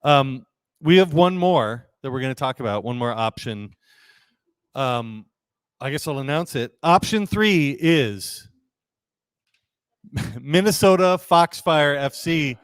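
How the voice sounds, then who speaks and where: Brief: male host, smooth clear voice, dry close sound, low-key. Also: lively intonation, slow, long pauses, no room sound. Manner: low-key, hesitant with restarts. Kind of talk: presentation on a live stream.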